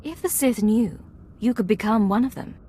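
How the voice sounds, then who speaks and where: A woman's voice: on the high side, moderate pace, dark tone, husky. A woman playing a cool female secret agent in a video game, terse and controlled. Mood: probing, controlled. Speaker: game character voice actor. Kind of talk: video game dialogue acting.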